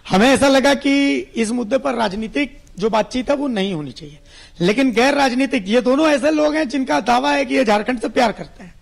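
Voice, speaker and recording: A man's voice: very high voice, brisk, vocal fry. A man reporting live for the news and moderating guests, explaining things in a conversational, repetitive way.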